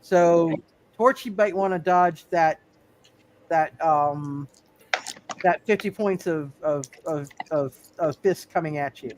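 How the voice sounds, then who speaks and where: A man with a high-pitched voice runs a tabletop game as game master. He speaks casually and haltingly, stammering and restarting phrases while he works through the rules. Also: background noise. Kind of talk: casual live tabletop superhero game talk, working out rules.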